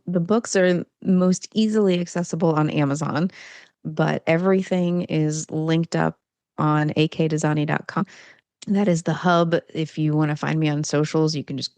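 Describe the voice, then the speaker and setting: A woman guest on a podcast, an author and coach, answering eagerly and explaining things in quick lists. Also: low voice, a little mellow, no room sound. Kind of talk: casual interview chat.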